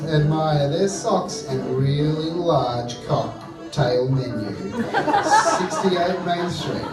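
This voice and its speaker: A man's voice: medium pitch, close to an everyday voice. A man playing a suggestive male club advertiser in a spoof radio advert, drawing out his words to woo ladies. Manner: drawn-out, suggestive. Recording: echoey theatre, distant mic, music behind.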